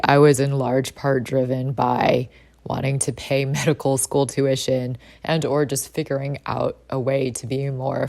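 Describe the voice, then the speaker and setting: A woman in her thirties speaks in a low, husky voice, relaxed and chatty as she vlogs. The sound is dry and close, with no room around her. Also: no room sound. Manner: relaxed and chatty, with light laughs. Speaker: vlogger and trader. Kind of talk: casual vlog narration.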